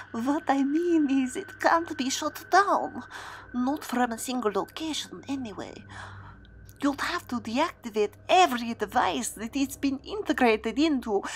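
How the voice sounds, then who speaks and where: A woman playing an anguished, defeated military scientist in an audio drama, pleading and halting with great intensity. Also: on the high side, slight background noise.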